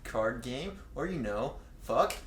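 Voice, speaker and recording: A man's voice: mid-range voice, animated, takes it slowly, crowd noise. A man doing a casual, joking read-aloud of fan fiction, tossing in teasing asides. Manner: tosses in crude, teasing asides. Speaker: guest co-reader of fan fiction. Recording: roomy echo with clear background noise.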